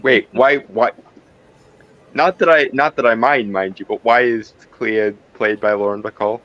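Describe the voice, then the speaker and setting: A man's voice: medium pitch, unhurried, highs cut off. A man in casual tabletop game chat, speaking in a wry, wordy and hesitant way. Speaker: roleplay game participant.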